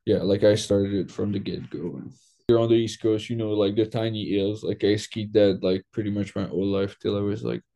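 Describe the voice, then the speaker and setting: Young Quebec-raised man, mellow low tone, relaxed filler-heavy interview talk. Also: fairly quick, vocal fry. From Quebec, Canada. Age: young adult. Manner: loose, filler-heavy, lots of "like". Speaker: guest. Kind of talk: casual interview.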